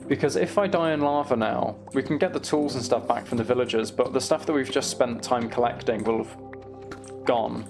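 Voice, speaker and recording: A man's voice: medium pitch, a little fast, creaky, over music. A man giving casual, self-deprecating gameplay commentary, musing aloud as he goes. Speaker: gaming video host.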